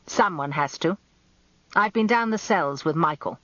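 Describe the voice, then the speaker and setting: A woman voicing a gruff older male barrister husband, terse, dry and sardonic, in a radio drama. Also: fairly low pitch, animated, measured pace, a bit bright, dry recording, dull audio. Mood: dry, curt.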